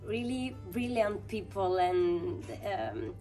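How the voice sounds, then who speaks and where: A woman giving a short video testimonial, speaking slowly with pauses. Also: medium pitch, sing-song, mellow, soft background music. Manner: slow with pauses. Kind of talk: casual testimonial.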